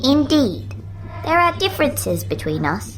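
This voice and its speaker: A woman's voice: high voice, very animated, unhurried, a bit bright, slight background noise, voice pushed up, exaggerated voice, rough recording. A woman voicing a knowing, eerie little girl with a posh upper-class English accent, prim and teasing.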